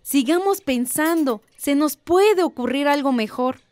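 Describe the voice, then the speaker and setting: A woman's voice: high voice, expressive, unhurried, a little mellow, a little hiss or hum. An actress with a Mexican accent playing a concerned, cautious village mother in a radio drama. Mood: cautious, worried.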